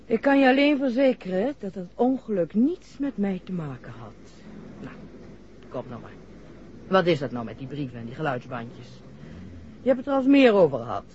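Animated, commanding lady doctor villain. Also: fairly low pitch, moderate pace. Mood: controlled, pressing. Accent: Netherlands Dutch. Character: controlled, evasive female doctor running criminal scheme. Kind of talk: 1960s Dutch radio play acting. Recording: muffled, noisy old radio recording.

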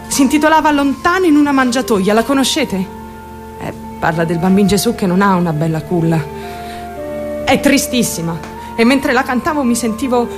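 Actress as a high-voiced, troubled young woman confiding. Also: natural voice. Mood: confiding, agitated. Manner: confiding, addresses listeners directly with rhetorical questions. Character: troubled young woman, defensive, candid, rambling about her mother. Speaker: actor. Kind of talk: theatrical monologue in a radio play.

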